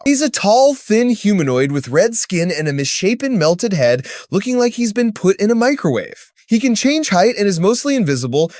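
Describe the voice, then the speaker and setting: A man's voice: sits high, no echo, studio quality. A man reading scripted explainer narration in a casual, wry way with joking asides.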